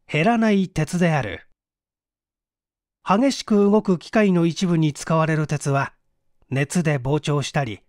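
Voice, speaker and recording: A man reads a mystery story in a measured storytelling style. His voice sits a little high for a man and moves with a very varied intonation. The sound is dry, with no room around it. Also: a little mellow, dry recording. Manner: measured storytelling with acted dialogue. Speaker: audiobook reader. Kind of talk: dramatic narration of a mystery story.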